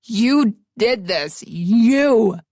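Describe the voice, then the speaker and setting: A woman voicing a drunk, enraged man who shouts slow threats through a door. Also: fairly low pitch, no echo, studio quality. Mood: raging.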